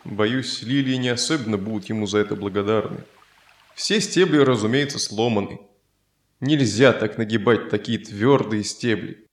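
An actor playing a grumbling, warlike Roman soldier who scorns gentleness, in an audio fairy tale. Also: male voice, lowish voice, moderate pace, natural voice. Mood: scornful. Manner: brooding, disdainful, rhetorical. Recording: roomy echo with music underneath.

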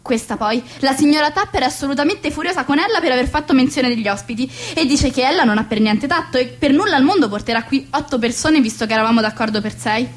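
A woman's voice: high-pitched, fast talker, hardly any pauses, natural voice. A woman playing a fussy, theatrical middle-aged society hostess and mother in a radio comedy set in the 1920s. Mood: indignant, ranting. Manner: theatrical, exasperated, grandiose. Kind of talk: radio play acting of a 1920s stage comedy.

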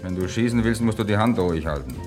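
A man's voice: on the low side, lively intonation, quick pace, over music, close to an everyday voice. An actor playing a cool, defiant man in a German crime radio play, dryly ironic and unflustered. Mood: mocking, defiant. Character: cool defiant man posing as his dead double.

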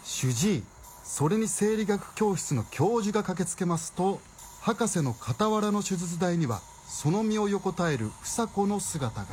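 高めの声の芝居がかった語り手の男. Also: male voice, sits high, animated, unhurried, darkish tone, a little hiss or hum. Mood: solemn, storytelling. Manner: polite, theatrical, old-fashioned storyteller phrasing. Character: formal male storyteller narrating an old melodrama. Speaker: narrator. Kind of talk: scripted radio drama storytelling.

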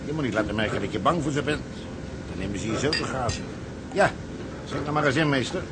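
Swaggering, rough-tongued salvage captain , male actor, mid-pitched, stubborn and commanding, radio play with loud ship noise behind. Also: lively intonation, quick pace, close to an everyday voice. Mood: cocksure. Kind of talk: Dutch radio drama acting. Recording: noisy ship ambience behind voice.

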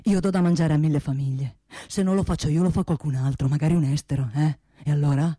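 A woman's voice: low-pitched, fast talker, dark tone, dry recording, studio quality, pitched below the performer's own voice. A woman playing a blustering, self-justifying male factory boss in a comic stage monologue, pompous and obsessively repetitive. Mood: defensive, self-righteous. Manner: pompous, repeats himself obsessively.

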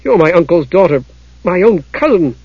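A man voicing a gentle, earnest young male mage in a fantasy audiobook, restrained and a little uneasy. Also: sits high, big pitch swings, normal speed, slightly rough, dry recording, lo-fi sound, voice pushed up, heavily acted. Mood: firm.

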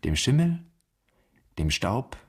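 A man's voice: fairly low pitch, big pitch swings, slow pace, darkish tone, slightly rough, exaggerated voice. An actor playing a stern, challenging inner voice between estranged father and son in a poetic radio play, pointed and emphatic. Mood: wistful. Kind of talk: literary radio play, clipped poetic lines.

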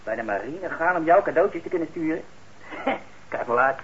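A muffled, noisy old radio play recording carries an actor as a young working-class man. He is sardonic and down on his luck, grumbling and muttering in a natural, middling-pitched voice. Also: animated, moderate pace, natural voice. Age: young adult.

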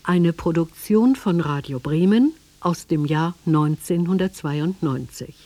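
A woman's voice: fairly low pitch, measured pace, mellow, no echo. A woman with a standard German accent reading scripted credits as a radio drama announcer.

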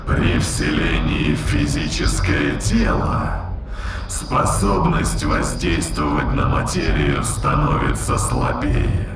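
An actor voicing a haughty otherworldly entity in an ape's body, with a gravelly whispery growl and slow, archaic, pompous phrasing in an audio drama, his voice processed with reverb. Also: a bass voice, heavily acted. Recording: echoey distant voice under loud music.

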